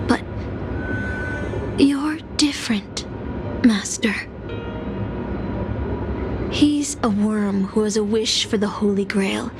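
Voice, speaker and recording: A woman playing a proud, bitter fallen singing film star in a video game, with slow theatrical delivery. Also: mid-range voice, steady tone, over music. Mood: scornful, challenging. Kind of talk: dramatic video game voice acting.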